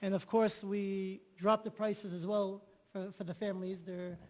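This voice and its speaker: A man's voice: high-pitched, little pitch movement, a little slow, a little mellow, long reverb tail. A man telling an enthusiastic story as a guest on an interview show, often repeating himself. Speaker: guest, charity organizer.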